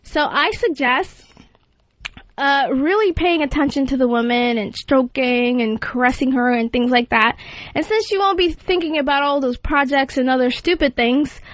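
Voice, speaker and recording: A woman's voice: high-pitched, normal speed, no room sound. A woman hosting a talk show in a casual, frank and unembarrassed way. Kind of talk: casual radio chat. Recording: dull lo-fi audio, faint music.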